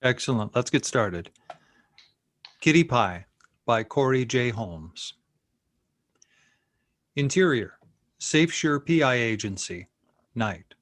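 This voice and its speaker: A man narrating a screenplay, reading scene directions in a measured, scripted way. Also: mid-range voice, expressive, a bit bright, no room sound.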